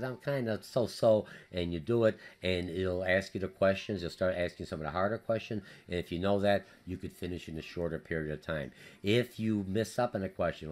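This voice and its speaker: Low-voiced male business teacher with a Polish accent, rushing through a recorded course update. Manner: hurried, energetic, repetitive with many fillers. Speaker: college business instructor.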